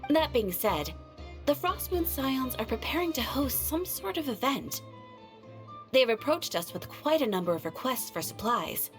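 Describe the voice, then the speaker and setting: Polite, lively high-voiced guild receptionist. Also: female voice, over music. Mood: courteous, informative. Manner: polite, formal, measured explanation. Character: polite, composed female adventurers' guild receptionist, helpful and formal. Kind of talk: video game character acting.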